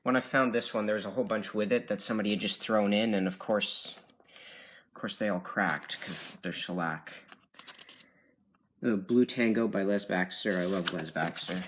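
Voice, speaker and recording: Male hobbyist collector, low register, hesitant off-the-cuff delivery, slightly noisy handheld phone sound. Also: moderate pace. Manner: hesitant, unsure, self-correcting. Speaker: record collector showing his collection. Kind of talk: casual rambling show-and-tell. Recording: noisy amateur phone video with clicks.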